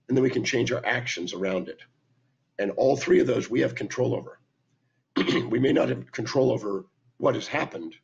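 Hoarse older male speaker, raspy and low, emphatic lecturer, dull webcam sound. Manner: emphatic, lecturing, lists points with hand gestures. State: hoarse from laryngitis. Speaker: speaker and teacher. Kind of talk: talking to camera, teaching talk. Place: indoor room at home.

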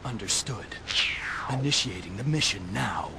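Low, brooding hedgehog antihero. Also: male voice, lively intonation, moderate pace, creaky, noisy background. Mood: cold, terse. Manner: terse, cold, serious. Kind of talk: video game cutscene acting. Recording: music under the voice.

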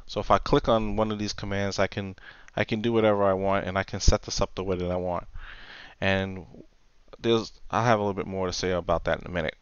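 A man presenting an unscripted software tutorial, explaining step by step in a halting way. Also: sits low, steady tone, clean tone, dry recording, dull audio. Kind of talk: unscripted screen-recorded tutorial.

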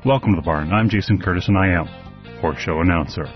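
A man's voice: deep voice, fast, soft background music. A man hosting a podcast, reading a scripted monologue of frank advice with wry asides. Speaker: podcast host, horse show announcer. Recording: dull lo-fi dry recording.

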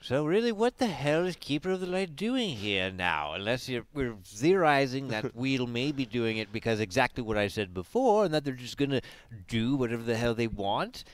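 Upbeat guy casting esports on a headset, smooth clear voice. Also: medium pitch, sing-song, moderate pace. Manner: upbeat, laughs often. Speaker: esports caster. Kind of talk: live game commentary. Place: small decorated studio set.

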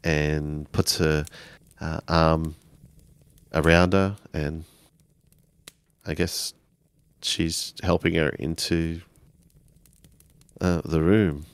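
A man narrating his character's actions in a tabletop role-playing game, halting as he searches for words. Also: very deep voice, steady tone, clear, resonant tone, no room sound.

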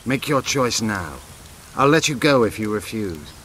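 Forceful low-voiced elven rebel commander. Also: male voice, big pitch swings, unhurried, a little hiss or hum. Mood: stern. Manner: grave, declamatory, forceful. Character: proud, bitter male elven rebel commander. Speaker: video game character actor. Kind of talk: game dialogue acting.

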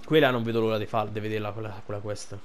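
A man's voice: on the low side, steady tone, fast talker, soft background music. A man giving casual, informal playthrough commentary as a gaming streamer, thinking aloud.

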